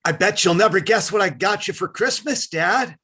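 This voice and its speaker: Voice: medium pitch, animated, normal speed, bright, forceful tone, breathy edge. A performer playing an eager, scheming young schoolboy in a readers theatre comedy, blurting and wheedling. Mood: casual, sly.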